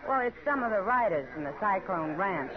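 A woman's voice: medium pitch, lively intonation, a little fast, natural voice. A woman playing a friendly, sociable saloon woman in a radio western, chatting breezily with an American accent. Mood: chatty, informative. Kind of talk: 1950s radio western acting. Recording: muffled old radio, saloon crowd behind.

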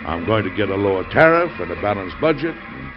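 An actor playing a genial middle-aged American president and husband in an old-time radio drama, speaking formally with a hearty, deliberate manner. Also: male voice, on the low side, sing-song, gravelly, over music. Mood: jovial, affectionate. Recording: dull, noisy old radio recording.

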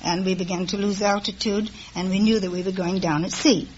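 A woman's voice: medium pitch, fairly even intonation, fairly quick, darkish tone. A woman talking casually with the host as a contestant, on an old radio quiz recording. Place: radio studio before an audience. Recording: dull old radio recording with faint noise.

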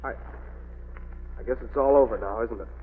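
A man playing a tormented, agitated young man who speaks haltingly in an old radio melodrama. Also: medium pitch, slightly rough, close to an everyday voice. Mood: despairing. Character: tormented young man convinced he is a murderous werewolf. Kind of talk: 1940s radio melodrama acting. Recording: noisy, phone-like old radio recording.

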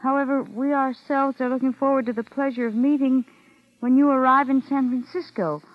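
A woman's voice: high-pitched, steady tone, normal speed, close to an everyday voice. Actress as a wary young woman, vintage radio. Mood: reading aloud carefully. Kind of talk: old-time radio mystery acting. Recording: muffled vintage radio broadcast.

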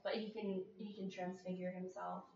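A woman with a high voice chats animatedly on a podcast. She sits back from the mic in a very echoey room. The sound is dull, with a faint hiss. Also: moderate pace, gravelly, far from the mic. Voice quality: high-pitched. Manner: animated, bursts into song snippets. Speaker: podcast co-host. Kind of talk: casual chat.

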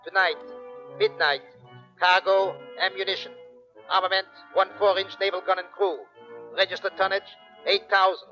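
A man plays a secretive spy sending a message in an old radio play. The voice is high and monotone, each item clipped and separate. The recording is dull and band-limited. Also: over music, lightly acted. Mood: cold, measured. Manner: slow, clipped message reading. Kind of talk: 1940s radio drama acting.

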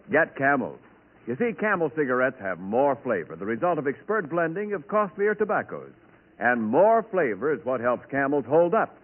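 A man announcing and reading ads in 1940s radio style, with polished, emphatic salesmanship. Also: fairly high pitch. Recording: tinny band-limited 1940s radio recording.

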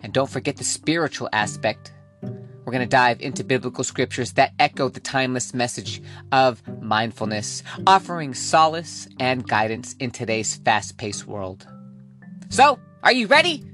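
A man's voice: medium pitch, big pitch swings, a bit bright, soft background music. Hype-driven male podcast host. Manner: hype, playful, dramatic drawn-out words. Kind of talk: scripted motivational podcast monologue.

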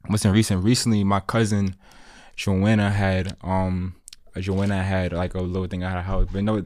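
A man chatting as a podcast guest, talking fast and repeating words as he starts sentences. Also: sits low, level tone, a little mellow, no echo. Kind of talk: casual chat.

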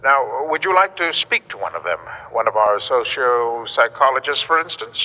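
An actor playing an earnest, insistent official in a radio drama, speaking formally and urgently on a dull, reverberant old recording. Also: male voice, mid-range voice, sing-song, normal speed. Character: earnest, insistent male official calling from the future. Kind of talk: classic radio drama acting.

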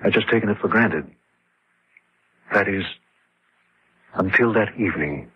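A haunted, grieving man narrating a radio horror tale. His voice is deep and badly roughened with huskiness. The old recording is dull and lo-fi. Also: a little slow. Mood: numb, haunted. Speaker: narrator and lead actor. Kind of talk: old-time radio horror drama acting.

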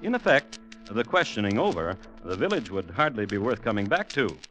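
Wry radio-play narrator, lowish voice, very animated intonation, theatrical, deliberate pauses. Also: male voice, soft background music. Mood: dry, explanatory. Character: wry storyteller chronicling a village murder tale. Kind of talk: classic radio drama narration.

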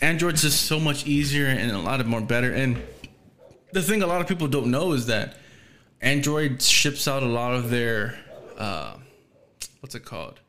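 A man's voice: mid-range voice, fairly bright tone. A man hosting a podcast in casual chat, rambling with lots of fillers, slang and restarts. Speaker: podcast host.

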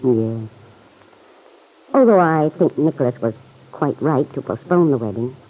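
An actress playing a warm, forgiving middle-aged small-town mother in an old-time radio serial. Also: very deep voice, animated, measured pace, heavily acted. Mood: gentle, concerned. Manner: gentle, forgiving, chuckling. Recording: vintage radio recording, muffled.

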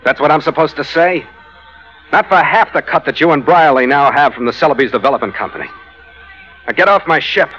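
An actor playing a tough, sardonic ship's skipper in an old radio adventure, with clipped delivery. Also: male voice, on the high side, expressive, brisk, fairly bright tone, husky. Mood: hard, sardonic. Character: hard-boiled, wry ship's skipper and adventurer. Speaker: lead actor and first-person narrator. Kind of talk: 1940s radio adventure acting and narration. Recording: old muffled radio recording with music.

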